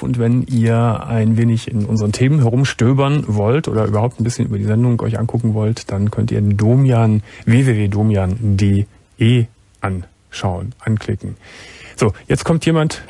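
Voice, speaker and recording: Middle-aged man, calm radio host. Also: mid-range voice, vocal fry, dry recording. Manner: gentle, probing questions with many soft affirmations. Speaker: late-night radio call-in host. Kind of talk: calm empathetic phone-in talk. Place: radio studio. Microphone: studio mic, wearing headphones.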